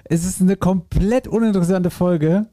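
A man's voice: high voice, normal speed, mellow, no room sound, clean studio sound. A man co-hosting a casual podcast through a headset, upbeat and teasing, speaking straight to his listeners. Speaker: podcast co-host. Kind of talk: casual chat.

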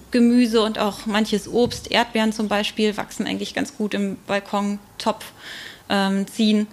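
A woman explaining things informally as an expert guest in an interview, with frequent hesitant pauses. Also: mid-range voice.

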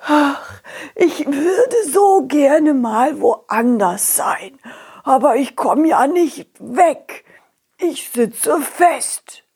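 A woman voicing a small, sad old pebble with breathy sighs and slow, plaintive, resigned speech. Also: high-pitched, animated, a little mellow, pitched above the performer's own voice, exaggerated voice. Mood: sad, longing. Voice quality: breathy, sighing.

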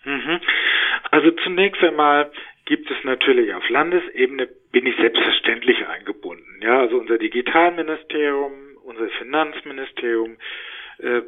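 A man answering interview questions as an expert, explaining in a halting, deliberate way and correcting himself, on thin call-like audio. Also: mid-range voice, narrow, tinny audio. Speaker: interviewed data protection expert and professor.

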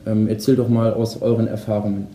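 A man's voice: on the low side, flat delivery, fairly quick, dark tone, a little hiss or hum. A man hosting a company podcast, greeting guests in a friendly, casual way with frequent filler sounds. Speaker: podcast host. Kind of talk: casual moderated podcast chat. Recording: roomy echo.

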